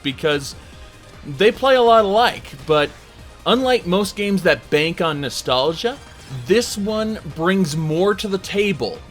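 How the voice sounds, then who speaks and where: A man delivering an informal video game review in a conversational, emphatic way, pausing for dramatic effect. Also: sits high, fairly bright tone. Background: music under the voice.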